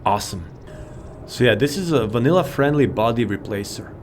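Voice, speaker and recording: A man's voice: medium pitch, expressive, fairly quick, slight background noise. A man giving rambling, informal and enthusiastic commentary as he hosts a video game showcase. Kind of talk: casual game walkthrough commentary. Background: game music.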